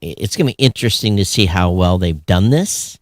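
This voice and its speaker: A man co-hosting a podcast in casual, opinionated chat, animated and sarcastic, often halting and breaking off mid-phrase. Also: low voice, no room sound, clean studio sound. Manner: animated, sarcastic griping with mock quoted voices. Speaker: podcast co-host.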